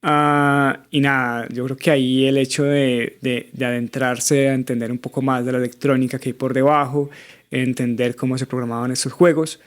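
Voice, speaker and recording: A man's voice: medium pitch, little pitch movement, moderate pace. A man in his thirties from Colombia with a Bogotá accent, answering interview questions thoughtfully with many small fillers. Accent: Colombian Spanish, Bogotá. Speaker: guest. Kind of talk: conversational interview.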